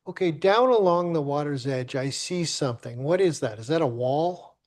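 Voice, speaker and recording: A man serving as mayor, chairing a council meeting in a halting, polite way and often searching for words. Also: a little high, animated. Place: council chamber.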